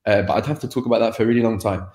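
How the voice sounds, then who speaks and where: A man's voice: lowish voice, very fast, a little mellow. A man in his thirties, a guest on a video call, talking candidly and at length, often laughing and restarting his sentences. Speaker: guest, language course creator. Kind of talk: candid personal conversation. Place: home room by a sofa. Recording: echoey room over video call.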